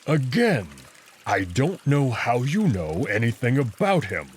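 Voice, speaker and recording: A proud, protective wolf, acted by a man who speaks slowly and firmly. His pitch moves a lot as he gives curt, guarded lines for a visual novel, over faint noise and running water. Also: very animated. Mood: angry, restrained. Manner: curt, guarded, slow and firm. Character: stern, protective male anthropomorphic wolf, big and proud.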